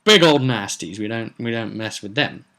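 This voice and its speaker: A man with a fairly low voice speaks as a game master giving a casual recap. He rambles conversationally and leans on certain words for dramatic weight. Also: animated, moderate pace, pushed and bright. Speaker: game master recapping own tabletop roleplay session. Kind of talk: casual vlog recap to viewers.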